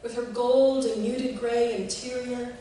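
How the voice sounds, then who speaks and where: A woman giving an expressive, measured reading of a literary piece, recorded in a reverberant room from a distance. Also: fairly high pitch. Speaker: reader of a short literary piece. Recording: echoey room, distant mic, faint noise.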